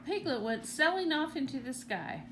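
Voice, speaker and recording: A woman with a fairly high voice narrates a children's storybook in an animated way. Clear background noise sits behind her reading. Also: moderate pace, rough recording. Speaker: storybook reader.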